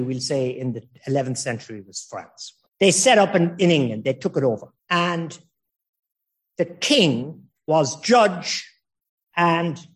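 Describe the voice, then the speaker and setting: A middle-aged man from Ireland giving an informal law lecture, slow and emphatic, with joking asides and digressions. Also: on the high side.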